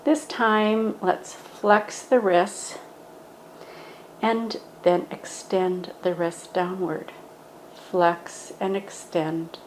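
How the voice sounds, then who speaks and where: A woman gently guiding a standing yoga meditation, speaking in a calm, instructive way. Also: on the low side, drawn-out words, slight background noise, poor audio.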